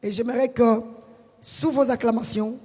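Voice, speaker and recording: Brief: very high-pitched male pastor, emphatic church intro, long reverb from a big hall. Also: fairly even intonation, moderate pace, a little mellow, husky. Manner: exhorting, emphatic, hesitant with fillers. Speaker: pastor introducing the guest speaker.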